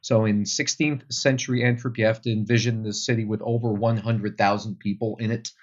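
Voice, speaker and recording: Flemish man, Dutch native, deeper voice, measured and filler-heavy, dull recording. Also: fairly even intonation. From Belgium. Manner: thoughtful, many um fillers. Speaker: tour guide guest. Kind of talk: casual interview answers.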